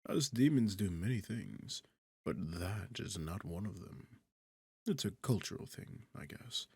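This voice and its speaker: A man's voice: low-pitched, no room sound, heavily acted. A man playing a sarcastic, seductive, arrogant demon king in an intimate ASMR fantasy roleplay, teasing and condescending as he talks. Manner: sardonic, condescending, teasing, smooth-talking. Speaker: audio roleplay performer.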